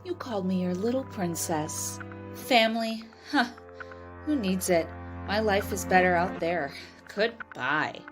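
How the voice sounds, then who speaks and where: A woman's voice: mid-range voice, expressive, a little slow, natural voice. A woman playing a bitter, flippant, cold estranged daughter in a dramatic comic reading. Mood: reproachful. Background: loud music.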